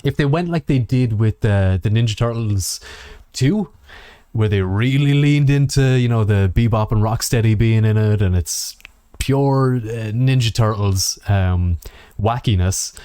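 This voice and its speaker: A man with a fairly low voice chats casually as a podcast co-host. He sounds enthusiastic and swears freely, recorded very dry with hardly any room sound. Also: measured pace, dark tone, clear, resonant tone, no room sound. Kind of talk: casual film and game chat.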